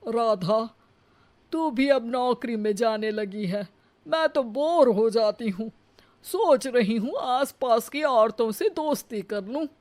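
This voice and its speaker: A woman's voice: fairly high pitch, takes it slowly, a little mellow. A woman voicing a simple, proud middle-aged mother-in-law in a cartoon moral story, homely, plain-spoken and emphatic. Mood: wistful.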